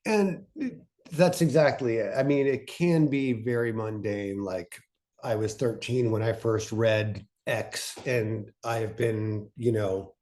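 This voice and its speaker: A man speaking informally and haltingly, thinking aloud as he co-presents a workshop on a video call. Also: fairly low pitch, steady tone, darkish tone. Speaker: podcast pitching workshop co-presenter. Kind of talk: casual instructional talk on a video call.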